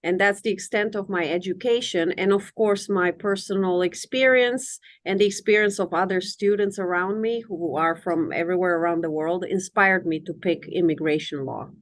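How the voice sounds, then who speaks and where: A middle-aged woman from Romania, an immigration attorney answering interview questions in a measured, articulate, formal way. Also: fairly low pitch.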